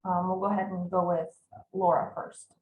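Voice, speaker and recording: A woman in her forties hosting a live podcast chat, talking in a halting way with many filler ums. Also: fairly low pitch, flat delivery, phone-quality sound. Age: 40s. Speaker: podcast host. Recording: echoey room with faint ambience.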